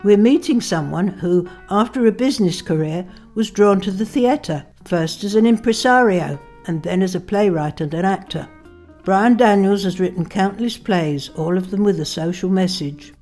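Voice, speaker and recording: An older woman introduces and interviews her guest in a measured, even manner. Her pitch sits in the middle, and faint guitar music plays under her voice. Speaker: podcast host. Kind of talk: interview hosting.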